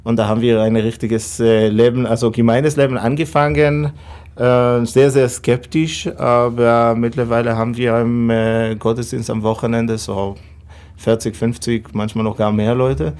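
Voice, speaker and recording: A man's voice: mid-range voice, level tone, normal speed, a little mellow. A man from Israel whose first language is Hebrew, speaking German with an Israeli accent in an interview, hesitant with many fillers and non-native phrasing. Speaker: rabbi. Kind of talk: interview answers.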